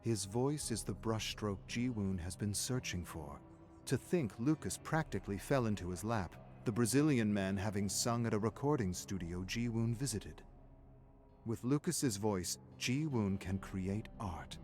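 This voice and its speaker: Deep, sinister game narrator over music. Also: male voice, expressive, measured pace, a little mellow. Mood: brooding, sinister. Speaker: narrator of a video game story. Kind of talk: scripted dramatic story narration.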